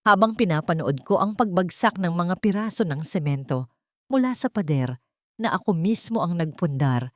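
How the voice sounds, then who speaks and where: A woman narrates a dramatic audio story in a fairly low voice. Her delivery is solemn and measured, like literary storytelling. The sound is dry, with very little room in it. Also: a little mellow, no room sound.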